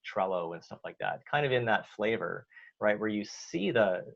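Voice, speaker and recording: A man with a Canadian accent, a guest answering interview questions in a relaxed way, with many fillers and restarts. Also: fairly low pitch, normal speed, vocal fry. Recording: dull lo-fi audio.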